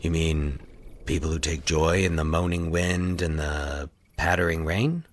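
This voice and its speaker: Male narrator as a solitary predator, very low voice, solemn, measured, calculating, horror audio story. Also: slight background noise. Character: solitary sinister man, secretly predatory, outwardly solemn.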